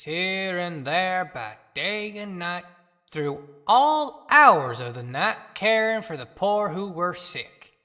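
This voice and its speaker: A man's voice: high voice, bright, forceful tone, full character voice. A man playing a devoted, unloved dead town doctor in an impassioned, sorrowful audio-play monologue. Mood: weary, aching. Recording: long cavernous reverb.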